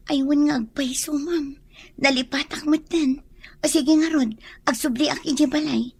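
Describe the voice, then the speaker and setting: An actress playing a forgetful, flustered young house helper in an Ilocano radio drama, with an Ilocano accent. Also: female voice, sits high, steady tone, normal speed, darkish tone, no room sound. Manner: anxious, flustered.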